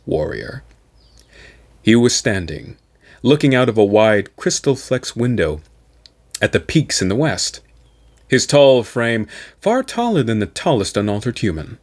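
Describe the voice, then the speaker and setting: A man giving a dramatic, theatrical narration of science fiction in a deliberate manner, as an amateur audiobook reader. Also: mid-range voice.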